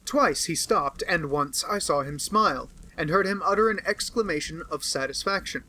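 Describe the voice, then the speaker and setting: A man reading a classic detective novel aloud as a narrator, with measured storytelling. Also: on the high side, sharp tone. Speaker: audiobook reader.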